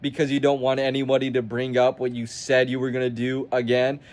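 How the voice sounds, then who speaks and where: A man's voice: mid-range voice, monotone, a little fast. A nineteen-year-old man ranting to camera as a self-improvement vlogger, blunt, profane and confrontational with the viewer. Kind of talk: motivational rant to camera.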